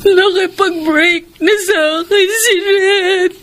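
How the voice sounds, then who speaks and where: A woman's voice: extremely high pitch, steady tone, takes it slowly, fairly bright tone, exaggerated voice. An actress playing a desperate, lovesick young woman in a tearful, melodramatic radio drama. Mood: tearful, pleading. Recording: music under the voice.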